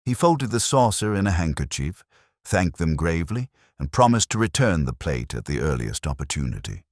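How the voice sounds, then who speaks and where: A man narrating a literary mystery story in a measured, formal way. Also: deep voice, sing-song, a little mellow, no room sound, studio quality.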